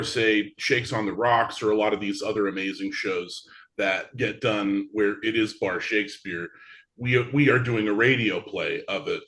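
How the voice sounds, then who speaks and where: A man speaking as an interview guest, explaining things in a measured, conversational way. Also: fairly low pitch, fairly bright tone. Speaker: interview guest, theatre show creator.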